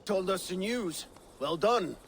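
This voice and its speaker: A man's voice: high-pitched, animated, takes it slowly, fairly bright tone, a little hiss or hum, heavily acted. A man playing a dry, needling Viking warrior in a video game, terse and wry. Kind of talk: dramatic video game acting.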